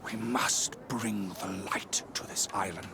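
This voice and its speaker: An actor playing a guarded, zealous missionary monk and scholar in a video game, speaking in a measured, dismissive way. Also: male voice, on the low side, fairly even intonation, noisy background. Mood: zealous, earnest. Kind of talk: video game cutscene acting.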